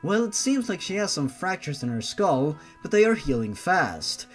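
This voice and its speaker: Animated man reading a story, mockingly. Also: on the high side, moderate pace. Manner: exasperated, mocking, swearing asides. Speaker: host reading fan fiction aloud with commentary. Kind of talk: story reading with sarcastic asides. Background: background music.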